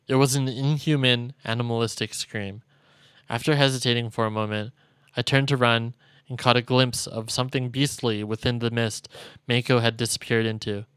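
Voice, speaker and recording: A man's voice: medium pitch, a bit bright, clear, resonant tone, no room sound. Solemn man reading out dramatic game narration. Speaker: streamer. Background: game music.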